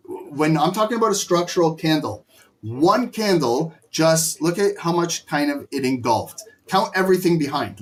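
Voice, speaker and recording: A hoarse middle-aged man teaching a live trading lesson in an emphatic, scolding way. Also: on the high side, darkish tone. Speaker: trading instructor.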